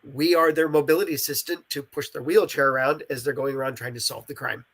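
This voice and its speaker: A man's voice: medium pitch, steady tone, fast talker, a bit bright. A man with a congested, nasal voice talking enthusiastically and explaining his creative work in a casual interview.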